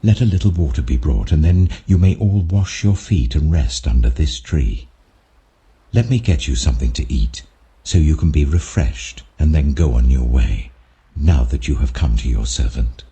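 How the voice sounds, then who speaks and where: A man's voice: a bass voice, expressive, moderate pace, dark tone. A British man voicing a very old, humble patriarch who speaks earnestly and deferentially, as if imploring, in a scripture story. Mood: humble, welcoming.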